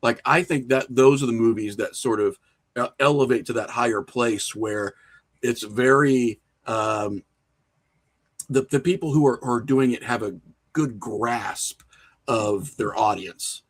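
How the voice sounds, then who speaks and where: Casting: male podcast guest, mid-pitched with a slightly husky edge, casual conversational storyteller. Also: a little slow. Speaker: podcast guest, horror filmmaker and writer.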